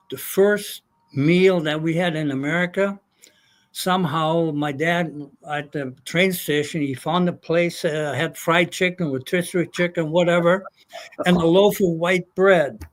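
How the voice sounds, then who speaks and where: An older man from Germany telling his life story, halting with many fillers. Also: on the high side. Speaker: guest. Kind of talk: casual storytelling.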